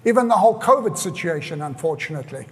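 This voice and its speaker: Older South African male pastor, high-pitched, earnest sermon delivery with repetition; voice rings in a hall with long reverb. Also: expressive, quick pace. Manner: earnest, repeats phrases for emphasis.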